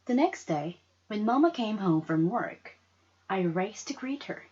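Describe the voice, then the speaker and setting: A woman reading a story aloud with expressive narration. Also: medium pitch, unhurried, husky, lo-fi sound. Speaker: story reader.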